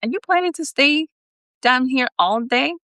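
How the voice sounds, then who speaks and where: A woman's voice: sits high, animated, moderate pace, bright, forceful tone, no echo, clean studio sound, pitched above the performer's own voice, heavily acted. A woman voicing a confident, teasing male sidekick with a casual, cajoling manner in a story.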